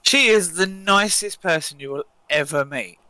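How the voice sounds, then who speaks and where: A woman, co-hosting a podcast in casual chat, playful, quick to laugh and happy to swear. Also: on the low side, pushed and bright, dry recording. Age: 40s. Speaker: podcast co-host.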